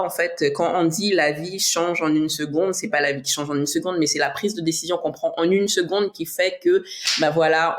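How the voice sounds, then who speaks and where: A woman from French Guiana, a bodybuilding athlete, talking as a guest in a casual podcast interview, reflective, with many fillers and restarts. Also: sits low. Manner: reflective, frequent restarts and fillers, laughs easily.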